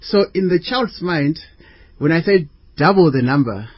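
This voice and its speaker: A man explaining things as an educator in a radio interview, measured and hesitant, working through examples. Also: a little high, lively intonation, no echo, highs cut off. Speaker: interview guest, maths educator.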